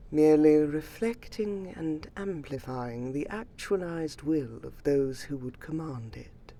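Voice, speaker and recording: A woman voicing a gracious, ancient vampire lady in a fantasy roleplay audio, speaking formally and soothingly to the listener with a wistful, breathy voice. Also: sits low, warm tone, slight background noise, full character voice. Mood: wistful, serene. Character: gracious ancient vampire lady, noble keeper of a magical sanctum.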